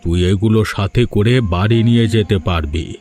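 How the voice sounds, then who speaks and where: Guy doing a stern kindly hermit holy man, lowish cartoon voice, music behind. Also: fairly quick, a little mellow, close to an everyday voice. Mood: grave, kindly. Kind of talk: Bengali moral-tale cartoon dubbing.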